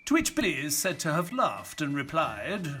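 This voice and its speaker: Casting: earnest detective's companion and storyteller, bright, higher-set voice, audio drama. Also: male voice, moderate pace, full character voice. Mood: dramatic narration. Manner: expressive, storytelling delivery. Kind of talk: audio play acting and narration. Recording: music behind the voice.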